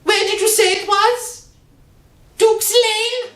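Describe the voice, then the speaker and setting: Very high-pitched, anxious, pleading wife. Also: female voice, steady tone, slow, fairly bright tone, reverberant, exaggerated voice. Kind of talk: old-time radio thriller acting.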